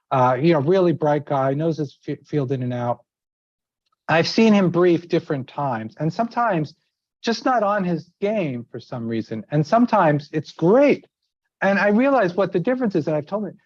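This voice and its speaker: A man's voice: fairly high pitch, a little mellow, dry recording. A middle-aged man from outside New York City giving a seminar talk, chatty and full of restarts and fillers. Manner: conversational, many fillers and restarts. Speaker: seminar speaker. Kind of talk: informal talk with anecdotes. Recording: dry, slightly dull sound.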